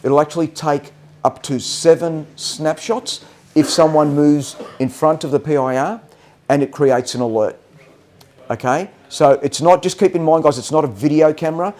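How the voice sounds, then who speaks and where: Mellow-voiced man giving technical training. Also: fairly high pitch. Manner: halting, often checks understanding with "okay". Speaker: product trainer.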